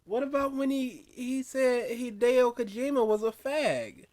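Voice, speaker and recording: A man's voice: very high-pitched, animated, measured pace, a little mellow. A man co-hosting a casual podcast, firing off incredulous rhetorical questions. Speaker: podcast co-host.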